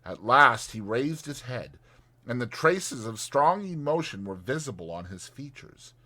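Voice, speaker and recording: A man's voice: medium pitch, expressive, measured pace, bright, forceful tone. A man narrating a classic storybook as a dramatized audiobook.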